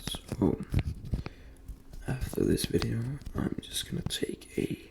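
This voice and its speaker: A young man from Denmark speaking soft, breathy ASMR in a gentle near-whisper, repeating phrases as he talks in a personal, motivational way. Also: slow, background noise. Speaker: ASMR creator and host.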